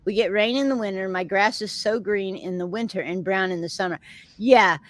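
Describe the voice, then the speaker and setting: A woman's voice: mid-range voice, a little fast, no echo. A middle-aged woman with a Texan accent, hosting a casual chat with viewers in a rambling, chatty way and laughing often. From Texas. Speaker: host of a live chat show.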